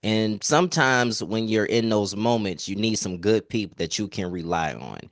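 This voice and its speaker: Low-voiced man interviewing, close dry sound. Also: lively intonation, moderate pace, no echo. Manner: complimentary, encouraging. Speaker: interviewer, entertainment reporter. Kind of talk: conversational celebrity interview.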